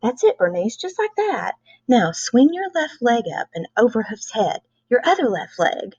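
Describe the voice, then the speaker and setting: A woman acts out a hard-as-nails older nun from a storybook. She coaches like a drill sergeant, blunt and urging, with some creak in the voice. The sound is dry and slightly dull. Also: on the high side, sing-song, moderate pace, a little mellow, no echo, pitched above the performer's own voice, heavily acted. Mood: coaching. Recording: dull, lo-fi close recording.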